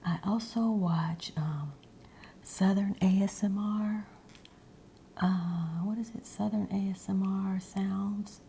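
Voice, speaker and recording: A woman ASMR creator rambling in a breathy whisper mixed with soft, hushed speech, meandering as she goes. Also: medium pitch, steady tone, takes it slowly, slight background noise.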